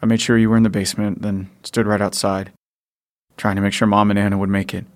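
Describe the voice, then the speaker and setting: A protective, grieving father in a post-apocalyptic audio drama, acted by a man. His voice is lowish and creaky, with very little rise and fall. He talks in an understated, hesitant way. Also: level tone, fast talker, close to an everyday voice. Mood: subdued.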